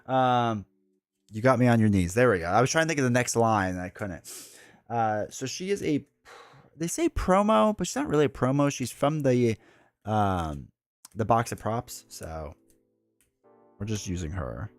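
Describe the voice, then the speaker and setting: A man's voice: mid-range voice, lively intonation, moderate pace, pauses often, no echo, faint music behind. A man with a stuffed-up cold, chatting in a rambling, casual way while he streams a solo board game live from home. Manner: rambling, chatty, full of asides and fillers.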